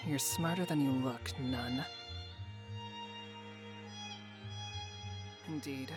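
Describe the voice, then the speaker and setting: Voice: mid-range voice, normal speed, over music, natural voice. An actor playing a curt, condescending head of a town guard in a fantasy visual novel. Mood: skeptical. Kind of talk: visual novel character acting.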